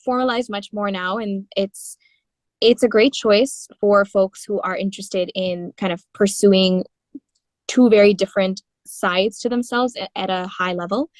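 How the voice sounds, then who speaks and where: A young woman in her twenties from India, telling animated stories in an interview as a guest singer-songwriter. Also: medium pitch, a little slow, no echo. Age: 20s. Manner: animated storytelling with frequent laughs and fillers. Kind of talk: conversational interview.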